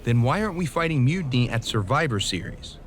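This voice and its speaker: A man's voice: mid-range voice, sing-song, fast, lightly acted. A man playing an exasperated, sardonic pro wrestler hero in a video game cutscene, with dry, sceptical delivery. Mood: incredulous.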